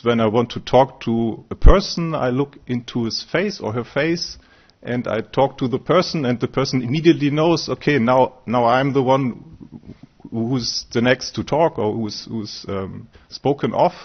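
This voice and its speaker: A man's voice: mid-range voice, moderate pace, dull audio. A man from Austria giving a conference talk as a researcher, hesitant, with frequent fillers and restarts. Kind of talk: conference presentation. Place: conference room.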